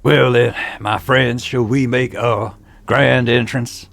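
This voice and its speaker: Man doing a brash gravelly Texan showman. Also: medium pitch, steady tone, slow. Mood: boastful. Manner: loud, flirtatious salesman patter. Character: brash boastful Texan gentleman entrepreneur.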